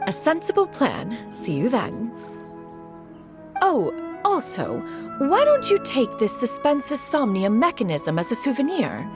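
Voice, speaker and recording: A woman's voice: fairly high pitch, wildly swooping pitch, a little slow. A woman voicing a proud ancient crane spirit in a video game, speaking in a stately, archaic and haughty way. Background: game music.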